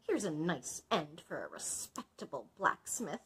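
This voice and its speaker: A woman's voice: low voice, big pitch swings, a little slow, fairly bright tone, breathy edge, full character voice. A woman voicing a timid, respectable male blacksmith in a storybook, speaking politely and carefully. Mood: frightened.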